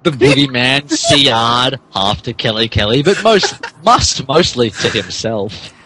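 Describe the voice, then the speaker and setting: A man narrates fan fiction on a podcast with theatrical mock seriousness. His pitch moves around a lot as he stumbles through the wording, and faint noise sits behind him. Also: expressive, a little slow. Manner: theatrical, stumbling over garbled text. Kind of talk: mock-dramatic fan fiction reading with asides.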